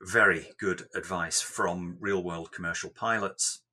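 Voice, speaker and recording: Male tech interviewee, deep register with a forward, bright edge, explaining carefully and in measured phrases. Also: steady tone, husky. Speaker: software developer being interviewed.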